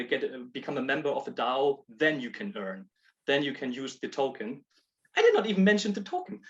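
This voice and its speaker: A man presenting his project on a video call, talking in halting bursts with frequent restarts and laughs between points. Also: mid-range voice. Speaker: project proposer. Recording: dull lo-fi call audio.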